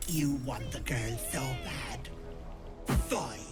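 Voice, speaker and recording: A woman's voice: a bass voice, lively intonation, slow, heavily acted. Vicious old hag witch, swooping wildly. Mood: cold menace. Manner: menacing, theatrical, sneering. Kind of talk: video game voice acting. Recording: music behind the voice.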